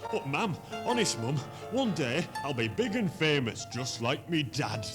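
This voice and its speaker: A man's voice: fairly high pitch, very animated, unhurried, over loud music. A man playing a naive, whiny northern English son in his twenties in a 1970s hospital radio comedy. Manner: plaintive, gormless, exclamatory. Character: naive, whiny, dim 23-year-old son dreaming of fame.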